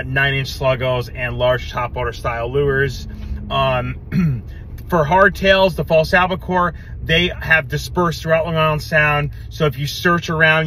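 A man in his thirties records a fishing report on a phone held at arm's length inside a car. His voice is higher and bright with an edge, and he talks loosely with plenty of fillers. A faint road hum sits behind him. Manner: loose, hesitant, with many fillers. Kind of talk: fishing report selfie video.

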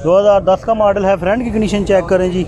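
High-voiced man doing car walkaround. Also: steady tone, a crowd in the background. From Pakistan. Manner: brisk, repetitive salesman patter. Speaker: used-car video host. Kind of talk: walkaround car sales commentary. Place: outdoor roadside car bazaar. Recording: noisy street outdoors.

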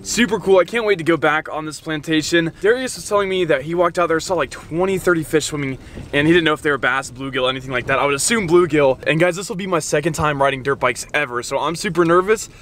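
A man's voice: on the high side, fairly bright tone, a little hiss or hum. A young man hosting a fishing vlog, talking energetically to a handheld camera outdoors. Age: young adult. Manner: upbeat, talks fast to the camera. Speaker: fishing vlogger host.

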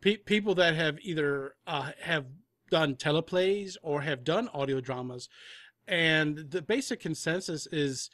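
Higher-voiced man, dry close recording. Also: a little slow, fairly bright tone, no room sound. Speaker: audio drama producer, podcast guest. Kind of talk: casual interview chat.

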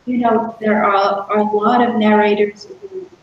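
A middle-aged woman, an author, talking in a halting, thoughtful way with many restarts on a video call from a room at home. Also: mid-range voice, steady tone, lo-fi sound, slight background noise. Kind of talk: casual interview on a video call. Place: room indoors. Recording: very echoey room.

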